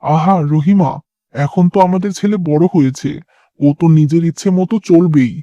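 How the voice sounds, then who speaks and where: A man as a mellow, placating father. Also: on the high side, normal speed, no echo, highs cut off, lightly acted. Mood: calm, reasoning. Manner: placating, reasoning. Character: calm, placating middle-aged father and husband. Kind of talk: dramatic cartoon dialogue.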